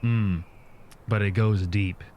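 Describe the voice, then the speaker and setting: A man's voice: lowish voice, steady tone, slow pace, muffled recording. A man playing a tabletop role-playing game, thinking aloud and checking details in casual chat that can slip into character.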